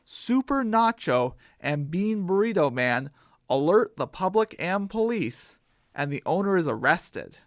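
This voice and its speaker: A narrator reads a scripted children's radio story at an unhurried pace. The pitch is mid-range and moves expressively, and the recording is close and dry. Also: sing-song, dry recording.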